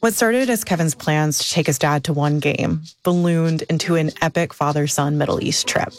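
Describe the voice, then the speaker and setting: Low-voiced woman narrating a story. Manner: measured storytelling delivery. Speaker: podcast reporter and narrator. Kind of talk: scripted narration.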